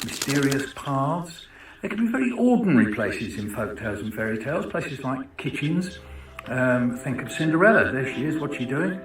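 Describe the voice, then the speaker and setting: Male lecturer, conversational and questioning, mid-pitched, recorded at a distance with music behind. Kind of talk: informal educational talk with rhetorical questions. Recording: roomy, distant mic with music.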